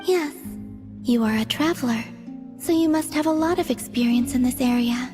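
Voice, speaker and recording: A serene, detached young maiden from another world, acted by a woman for a video game. The voice is fairly high, soft and breathy, with measured, almost deadpan phrasing. Long reverb and background music surround it. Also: lightly acted. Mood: gently deadpan. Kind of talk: dramatic video game voice acting.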